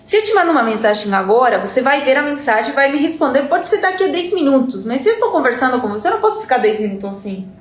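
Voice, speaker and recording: A woman's voice: sits high, sing-song, fast talker, hardly any pauses. Uruguayan woman teaching in an echoey room. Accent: Uruguayan Spanish. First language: Spanish. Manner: earnest, repeats words, checks in with "entende?". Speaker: Spanish teacher. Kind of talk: live-stream lesson talk.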